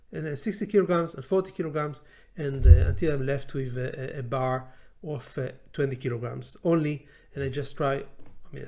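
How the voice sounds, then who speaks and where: A man in his forties whose native language is Hebrew, a language teacher talking informally with many fillers and restarts. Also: fairly high pitch. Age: 40s. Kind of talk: informal talk to camera.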